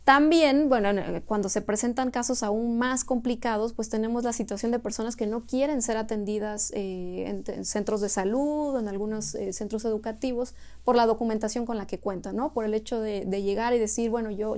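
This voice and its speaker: A woman's voice: a little high, moderate pace, hardly any pauses, darkish tone. A woman heading a refugee agency office, answering interview questions in an earnest, explanatory way, often ending points with a questioning tag.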